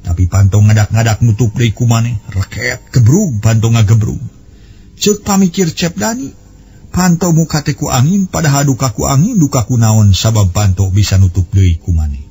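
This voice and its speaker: A man's voice: medium pitch, animated, moderate pace, dark tone, husky. A man with a West Javanese accent telling a story in dramatic radio style, recorded on old cassette. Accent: Sundanese-language West Javanese.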